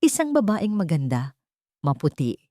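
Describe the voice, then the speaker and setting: A woman's voice: sits low, big pitch swings, moderate pace, mellow, dry recording, studio quality. A woman narrator voicing predatory city men on a hunt in a story, probing and calculating. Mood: suspicious.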